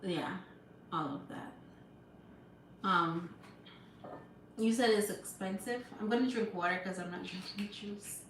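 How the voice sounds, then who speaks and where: A woman's voice: mid-range voice, unhurried, pauses often, recorded from a distance. A young woman with a Jamaican accent chatting on a live stream, laughing often and thanking her viewers. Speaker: livestream host. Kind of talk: casual live-stream chat. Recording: roomy sound from off-mic, background noise.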